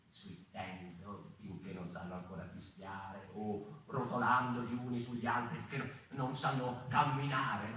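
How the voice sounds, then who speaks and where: A weary, agitated speaker for a people of mice in a stage monologue. The delivery is declamatory and halting, circling back on words, with wide swings in pitch and a bright, forceful edge. It is heard at a distance in a heavily reverberant space. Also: male voice, full character voice. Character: weary, agitated male member of a mouse people. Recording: very echoey hall, distant, faint noise.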